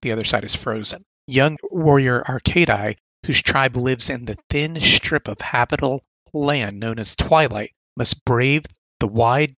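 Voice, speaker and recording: Guy with a sore throat going thru comic picks, bright voice, dead dry sound, reads blurbs then gets all excited. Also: mid-range voice, dry recording, poor audio. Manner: enthusiastic, reads aloud with asides. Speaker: comic catalog reviewer. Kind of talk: casual monologue, reading blurbs.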